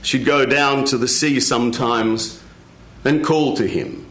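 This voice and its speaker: Slow, measured male storyteller in a roomy space. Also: medium pitch, steady tone, a bit bright. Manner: measured, dramatic pauses. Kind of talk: live storytelling of a folk tale. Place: radio studio with live audience.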